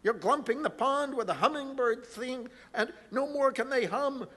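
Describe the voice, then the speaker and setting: A man voicing a bossy, indignant old forest creature who scolds loudly, in a storybook reading. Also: very high voice, normal speed, voice pushed up, full character voice. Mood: scolding. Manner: shouting, puffing, scolding. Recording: reverberant hall.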